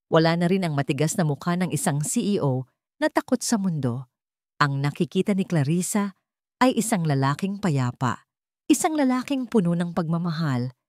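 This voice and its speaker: Female narrator for scripted romance drama, lowish voice, very dry studio-close sound. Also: a little mellow, no echo. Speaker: storyteller.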